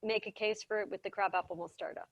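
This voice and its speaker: A woman's voice: mid-range voice, fairly even intonation, quick pace, no echo. A woman giving a casual, enthusiastic talk on how cheese is made, often correcting herself mid-sentence, over a video call. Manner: chatty, enthusiastic, self-correcting. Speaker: cheese expert presenter.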